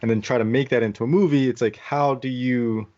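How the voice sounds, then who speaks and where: A man's voice: mid-range voice, steady tone, fairly quick, darkish tone. A man co-hosting a podcast, talking casually as he shares his opinions in a group discussion. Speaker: podcast co-host. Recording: dull, lo-fi audio.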